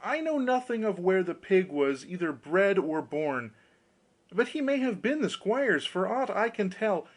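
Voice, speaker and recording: A man's voice: high-pitched, big pitch swings, a little slow, voice pushed up, exaggerated voice. A man voicing a gullible, cheerful young fellow in a storybook, with a naively enthusiastic, exclamatory manner. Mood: frightened, pleading.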